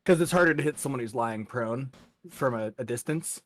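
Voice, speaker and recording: A man's voice: medium pitch, brisk. A man speaking casually as game master of a tabletop role-playing session.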